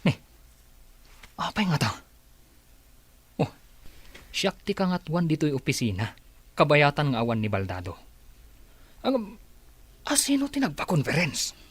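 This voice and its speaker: Man playing flustered male schemer, raspy voice, wildly swinging pitch, dry close sound, evasive, Ilocano accent, radio comedy-drama. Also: sing-song, a little slow, long pauses, no room sound, heavily acted. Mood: curious, smug. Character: shifty male office schemer, cornered and whining.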